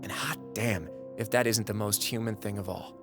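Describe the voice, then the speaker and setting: A man's voice: lowish voice, fairly even intonation, moderate pace, natural voice. Man playing a wry storyteller over music. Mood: wry, philosophical. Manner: musing, showman-like, colloquial. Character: wry, folksy storyteller addressing the audience. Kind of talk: audio drama narration.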